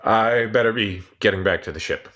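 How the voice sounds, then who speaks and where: Man playing a low-voiced, haunted, detached scientist. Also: fairly quick, a bit bright, lightly acted. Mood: uneasy, bewildered. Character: haunted, detached scientist survivor of a wartime camp. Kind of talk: cold-read sci-fi radio play. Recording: music under the voice.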